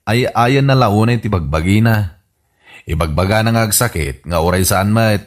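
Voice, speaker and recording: A man's voice: lowish voice, moderate pace, close to an everyday voice. A man playing a grumbling grown son with an Ilocano accent in a radio comedy drama. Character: grumbling grown son looking after his old father.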